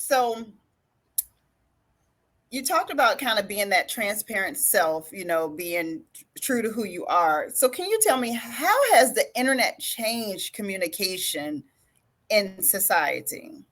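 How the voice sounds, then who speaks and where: A woman's voice: medium pitch, fairly bright tone. A woman hosting a relationship podcast interview who sounds upbeat and friendly and laughs easily while asking questions. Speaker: podcast host. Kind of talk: casual interview.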